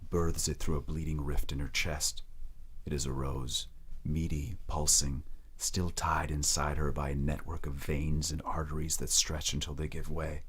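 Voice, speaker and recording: Man, extremely low voice, scripted narrator, audio drama storytelling. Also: level tone, measured pace, a bit bright, breathy edge.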